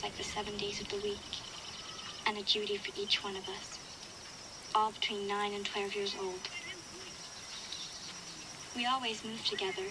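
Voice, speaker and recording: An actress playing a wistful young girl survivor, narrating a reflective, measured audio diary for a video game. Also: medium pitch, noisy background, natural voice. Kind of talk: scripted first-person audio diary narration.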